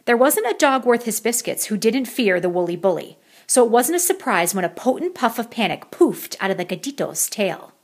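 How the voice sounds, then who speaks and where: A woman narrating a children's storybook as an expressive bedtime read-aloud. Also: a little high, brisk, few pauses.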